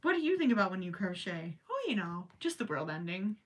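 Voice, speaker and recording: A woman's voice: medium pitch, sing-song, fairly quick, recorded from a distance. A young woman with a Minnesota accent chatting casually while crocheting on a livestream, rambling with joking asides. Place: small indoor craft room with yarn shelves.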